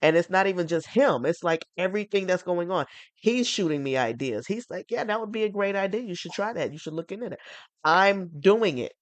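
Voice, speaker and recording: A woman's voice: on the low side, expressive, brisk, no echo. A congested woman hosting a podcast, chatting casually in a rambling, enthusiastic way, restarting her sentences often. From North Carolina. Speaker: podcast host. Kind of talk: casual porch chat.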